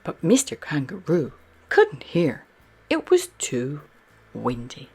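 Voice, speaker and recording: A woman narrating a children's picture book aloud in a slow, soft, very expressive way. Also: sits low, husky. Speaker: storyteller.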